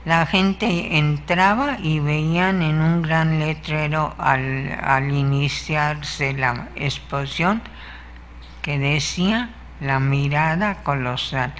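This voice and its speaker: An older woman, telling in a slow, measured way how she named her art writing, as a guest on a local interview show. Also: low voice, a bit bright, a little hiss or hum. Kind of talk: interview talk.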